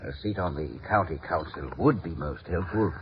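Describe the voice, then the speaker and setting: An actor playing an affable, self-important gentleman who hems and haws in a 1950s radio play. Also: sits low, normal speed, close to an everyday voice. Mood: pompous, genial. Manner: hemming, pompous. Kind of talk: 1950s radio drama acting. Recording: noisy dull 1950s radio recording.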